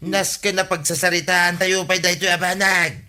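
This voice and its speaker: Forceful, sharp-voiced village man. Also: sits high, little pitch movement, moderate pace. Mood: concerned. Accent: Ilocano. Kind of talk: Ilocano comedy radio drama acting.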